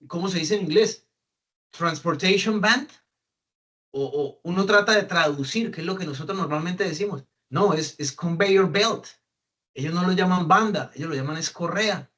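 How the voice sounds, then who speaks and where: A man's voice: fairly high pitch, fairly bright tone. A young adult man from Colombia with a Colombian accent, speaking conversationally to an audience with many fillers and restarts. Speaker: guest speaker on migration. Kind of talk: casual talk to an audience.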